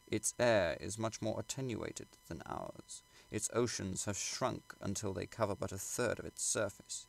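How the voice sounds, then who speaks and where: Low, creaky-voiced man narrating a book. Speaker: audiobook reader. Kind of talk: scripted literary narration.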